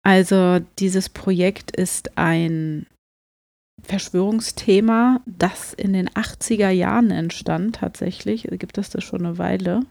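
Slow-paced female podcast co-host, dry close sound. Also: medium pitch, steady tone, creaky, darkish tone, no echo. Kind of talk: casual explanatory podcast chat.

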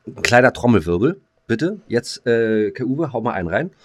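A man's voice: medium pitch, big pitch swings, normal speed. A middle-aged man with a German accent chatting casually as a podcast co-host, blunt and slangy, teasing his partner. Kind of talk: casual, bantering chat.

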